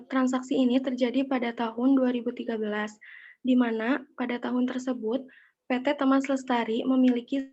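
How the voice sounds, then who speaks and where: A young woman delivers a class presentation. Her voice is slightly high and stays very even in tone. The recording is a little dull. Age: young adult. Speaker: student presenter. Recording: dull, lo-fi audio.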